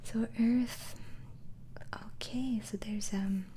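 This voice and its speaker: Female ASMR performer as a patient asylum doctor: slow, hushed whisper, gentle hesitant questioning, audible background noise. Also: a little high, fairly even intonation. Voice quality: breathy whisper. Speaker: ASMR roleplayer playing an interviewing doctor. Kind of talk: ASMR roleplay, soft whispering.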